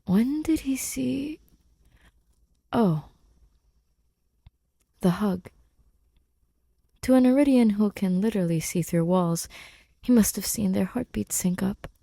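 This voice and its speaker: A woman's voice: medium pitch, slow pace, gravelly, pauses often, mellow, no room sound. A woman reading scripted fiction expressively as an audiobook narrator, close to the microphone. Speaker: podfic narrator.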